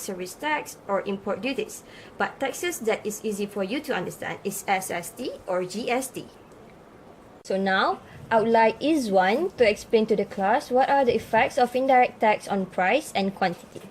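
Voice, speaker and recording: A woman's voice: medium pitch, a little hiss or hum, natural voice, low-quality recording. A woman playing an encouraging but firm female teacher in a scripted student puppet show lesson. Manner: instructive, addresses class with questions and praise. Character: encouraging female teacher, praising yet gently scolding her students.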